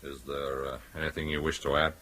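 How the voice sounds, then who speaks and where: A man's voice: rumbling low, level tone, brisk, bright, forceful tone. An actor playing a brusque, self-justifying man in a radio drama. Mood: matter-of-fact. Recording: radio drama with faint background noise.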